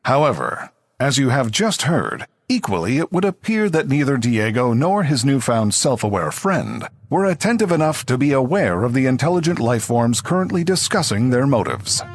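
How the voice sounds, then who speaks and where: Male narrator, acted: pompous documentary storyteller for a space comedy, lively intonation, slightly husky. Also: mid-range voice, normal speed, dry recording. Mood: mock-serious. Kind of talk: scripted comedy sci-fi narration.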